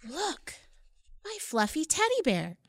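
A kind little princess from a rhyming picture book, high and lively, eager to help, as a woman reading aloud puts her on. Close, dry sound. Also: slow, dry recording, heavily acted. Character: kind, determined young princess girl.

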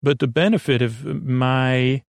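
A man's voice: mid-range voice, fairly even intonation, a little slow, vocal fry, no echo, studio quality. A man hosting a podcast in a casual, goofy bedtime monologue, meandering and self-deprecating, often trailing off and restarting his sentences. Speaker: podcast host.

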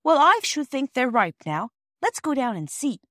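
An earnest, anxious storybook child, voiced by a woman, pleading with a grown-up. The voice is lifted high above the narration and recorded very dry. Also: very animated, normal speed, fairly bright tone, no echo. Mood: eager.

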